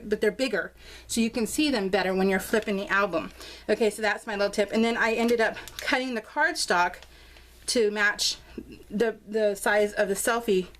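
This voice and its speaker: An American woman chatting casually and informally in a bubbly, gushing way as she shows her craft project. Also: fairly high pitch. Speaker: craft vlogger showing her scrapbook album. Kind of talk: casual chatty narration of a craft show-and-tell.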